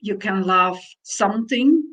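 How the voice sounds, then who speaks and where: Slow, thoughtful woman, Dutch native speaker. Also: mid-range voice, a bit bright. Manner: slow, searching, thoughtful phrasing. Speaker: podcast co-host. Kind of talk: reflective conversation.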